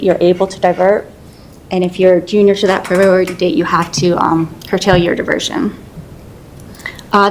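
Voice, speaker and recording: A woman with a low voice, a water resources manager presenting to a city council in a steady, explanatory way with fillers. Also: slight background noise, poor audio.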